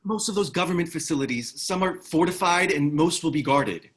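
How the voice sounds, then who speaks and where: A man playing a wary, gruff ex-government reluctant hero in a screenplay table read. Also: a little high, fairly quick, lightly acted.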